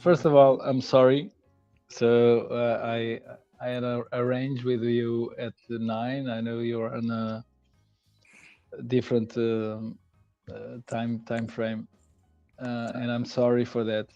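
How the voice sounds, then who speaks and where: Host, portuguese native man, mellow, loose chatty, lo-fi with faint music behind. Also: medium pitch, little pitch movement, clear, resonant tone. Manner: apologetic, laughing, halting with fillers. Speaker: podcast host. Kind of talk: casual live-stream chat.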